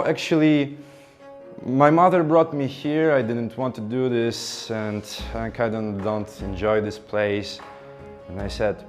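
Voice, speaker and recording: Man, mid-pitched, telling a personal story on stage and voicing the people in it. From Ukraine. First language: Ukrainian. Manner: animated, acts out dialogue. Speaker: speaker. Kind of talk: motivational storytelling speech.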